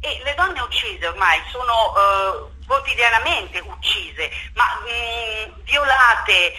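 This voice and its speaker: A woman's voice: a little high, expressive, takes it slowly, sharp tone, low-quality recording. A woman speaking spontaneously and emphatically as an interview guest, repeating words and tagging phrases with "no?". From Marche, Italy. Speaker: theatre director, interview guest.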